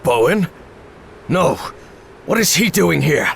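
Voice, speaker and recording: Earnest professor with animated, gravelly voice. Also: male voice, fairly high pitch, takes it slowly, slight background noise, heavily acted. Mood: alarmed. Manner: hesitant, emotional, questioning. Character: anxious, earnest, lovestruck male professor. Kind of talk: cinematic game voice acting.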